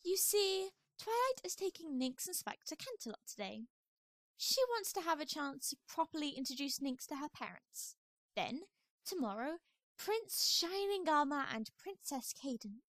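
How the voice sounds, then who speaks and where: A woman's voice: high-pitched, very animated, normal speed, a bit bright, no room sound. A woman playing a refined, fussy fashionista pony in an amateur audio drama, with an affected, genteel and dramatic manner. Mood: matter-of-fact.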